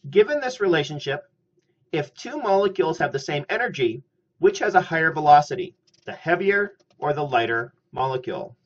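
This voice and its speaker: Middle-aged guy reading a chemistry lecture, calm and methodical, kinda dull lo-fi webcam audio. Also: on the high side. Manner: measured, methodical explaining. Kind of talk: scripted lecture narration. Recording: slightly muffled lo-fi audio.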